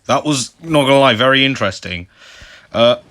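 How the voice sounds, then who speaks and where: A man's voice: mid-range voice, animated, moderate pace, a bit bright, no room sound. A man hosting a gaming playthrough with casual, informal muttered asides. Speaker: gaming video host. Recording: game music behind voice.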